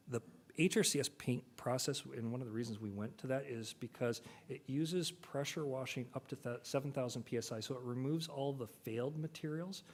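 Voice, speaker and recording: A man presenting technical details at a public meeting, measured and full of fillers. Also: medium pitch. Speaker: bridge authority official.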